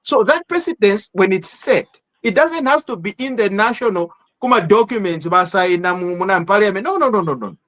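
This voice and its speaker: Animated Zambian man moderating a debate. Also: high voice, a little fast, hardly any pauses. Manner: animated, repeats words and mixes in local language phrases. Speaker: podcast host moderating a panel. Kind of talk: heated panel debate.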